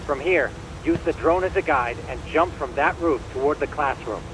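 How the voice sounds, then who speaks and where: A man's voice: on the high side, moderate pace, noisy background, close to an everyday voice. An actor playing a chatty, eager young male hacker in a video game, speaking in a formal, theatrical, wordy way. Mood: helpful, composed. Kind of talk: English video game voice acting.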